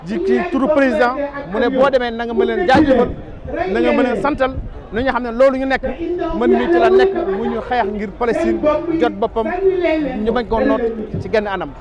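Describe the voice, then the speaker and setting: Declamatory official over a roaring crowd. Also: male voice, extremely high pitch, brisk. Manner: formal, declamatory. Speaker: official representative. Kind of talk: formal address. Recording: loud crowd, outdoor rally.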